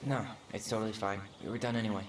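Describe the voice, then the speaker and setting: A man's voice: on the low side, fairly even intonation, fast, natural voice. A man playing a wry, laid-back young twin brother in a video game, with an American accent and naturalistic delivery. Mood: easygoing, reassuring. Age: young adult. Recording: game audio with background noise.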